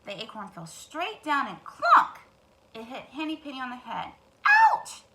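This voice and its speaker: A woman's voice: fairly high pitch, moderate pace. A woman teacher hosting storytime, reading aloud expressively to young children and adding asides to them. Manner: expressive, addresses young listeners directly. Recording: clear background noise.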